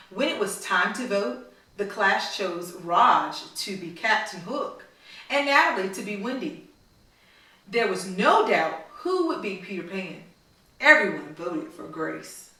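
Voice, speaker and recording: Very echoey, bright-voiced lady doing storytime for kids, encouraging like a teacher. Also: mid-range voice. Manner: encouraging, teacherly, repeats children's answers. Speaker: storytime host reading a picture book to children. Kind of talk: read-aloud storytelling with questions to children. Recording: very echoey room, far from mic.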